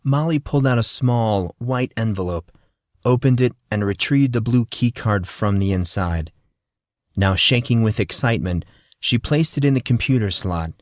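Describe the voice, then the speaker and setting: Low-pitched man narrating an audiobook. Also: normal speed, no room sound, studio quality. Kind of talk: scripted fiction narration.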